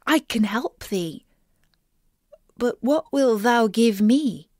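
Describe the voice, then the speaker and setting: An earnest, insistent storybook frog voiced by a woman, pleading in old-fashioned words. The delivery is slow with lively swings of pitch. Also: no room sound, clean studio sound. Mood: earnest bargaining.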